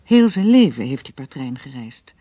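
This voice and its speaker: Narrator for scripted radio play: dark, slightly raspy tone, very dry sound. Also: medium pitch, big pitch swings, moderate pace, no echo.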